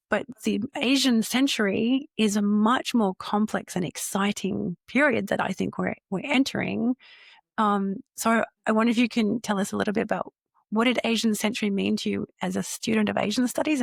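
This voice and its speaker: A woman's voice: medium pitch, a little fast, vocal fry, slightly rough, no room sound, clean studio sound. A woman in her forties hosting a podcast interview, speaking in a thoughtful, reflective way. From Australia. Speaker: podcast host, CEO.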